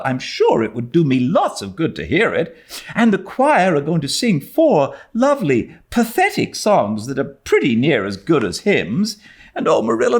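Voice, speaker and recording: Man as a young girl, very high pitch, wildly swooping intonation, effusive, dramatic, eager, storybook character. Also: full character voice. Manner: effusive, dramatic, breathless pleading. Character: excitable, pleading, talkative young girl.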